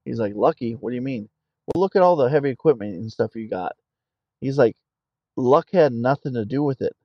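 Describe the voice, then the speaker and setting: A man's voice: medium pitch, animated, brisk, dry recording, muffled recording. A middle-aged man, an army veteran guest on a podcast, talking in a plainspoken way and telling stories. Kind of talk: conversational interview.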